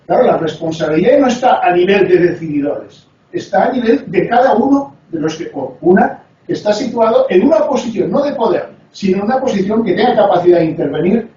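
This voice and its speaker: A man with a high but mellow voice gives a reflective academic lecture. He lifts his pitch on the phrases he wants to stress. He sounds far away in a very echoey hall, with a dull tone and faint room noise. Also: expressive, a little fast.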